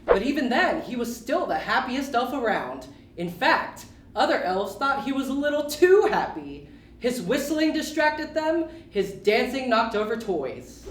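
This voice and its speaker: Animated woman storyteller in echoey room. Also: a little high, measured pace, slight background noise. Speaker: storybook reader. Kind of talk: expressive children's storybook reading. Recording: roomy echo, distant mic.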